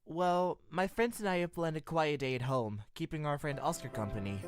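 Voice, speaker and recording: A man's voice: fairly high pitch, normal speed, clear, resonant tone, soft background music, lightly acted. A young man playing a kindly, eager, slightly awkward college student in a fan audio drama, earnest and friendly. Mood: worried. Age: young adult.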